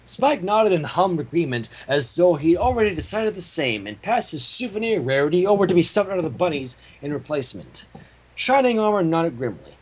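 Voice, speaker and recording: A man's voice: on the high side, big pitch swings, fairly quick, slightly rough. A man reading fan fiction as narrator, with casual asides. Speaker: story reader.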